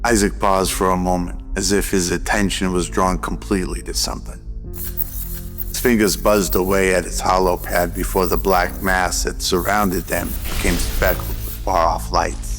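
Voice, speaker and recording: Over prominent music, a deep male voice narrates a scripted radio drama. His intonation stays very even throughout. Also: normal speed. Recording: loud music behind narration.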